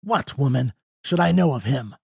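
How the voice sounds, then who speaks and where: A reader voicing a grumpy, sleepy old male doctor with a gruff, curt manner. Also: mid-range voice, expressive, normal speed, a little mellow, dry recording, studio quality. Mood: grumbling. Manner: gruff, grumbling.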